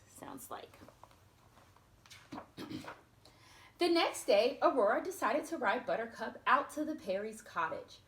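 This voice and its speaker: A woman reading a storybook aloud to children, expressive, with chatty asides spoken straight to her young listeners. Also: fairly high pitch, roomy sound.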